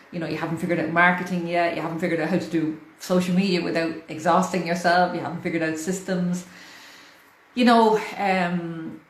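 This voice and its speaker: A woman in her forties with an Irish accent, hosting a casual livestream, chatty and laughing often. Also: lowish voice. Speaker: host. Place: hot room in a house. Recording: echoey room with faint fan noise. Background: fan running.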